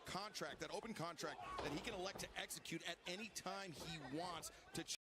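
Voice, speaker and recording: A man doing live play-by-play commentary for a televised wrestling show, brisk and informative, rising into shouts at big moments. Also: sits high, very noisy.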